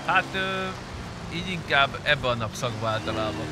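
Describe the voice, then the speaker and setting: A man's voice: medium pitch, big pitch swings, background noise. A man improvising roleplay in an online game, with a bossy, coarse-mouthed way of talking. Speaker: player leading a group in an online roleplay game.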